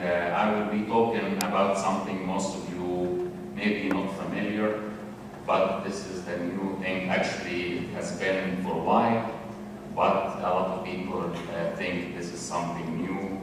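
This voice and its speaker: A man with an Egyptian accent gives a public presentation, pausing on fillers and restarting his sentences. His voice sits a little low and sounds far from the mic. A long hall reverb and clear background noise surround him. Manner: halting, many fillers and restarts.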